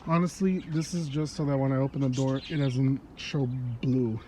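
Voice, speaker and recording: A man's voice: medium pitch, moderate pace, slight background noise. Congested man vlogging outdoors. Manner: relaxed, chatty, laughs often. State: congested, stuffy nose. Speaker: car hobbyist vlogger. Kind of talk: casual how-to vlog narration. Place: outdoors in a yard. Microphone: phone held while working.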